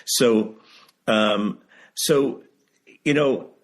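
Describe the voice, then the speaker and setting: A man's voice: mid-range voice, very slow. A seventy-year-old man talking candidly as an interview guest, emphatic and repeating phrases for stress. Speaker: guest, author and writing teacher. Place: video call.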